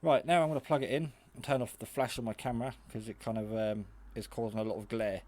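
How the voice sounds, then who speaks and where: A man's voice: medium pitch, fairly even intonation, fast talker, a little mellow. A man giving a casual, hands-on product demonstration in a hesitant, rambling, matter-of-fact way. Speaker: hobbyist radio reviewer. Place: garage.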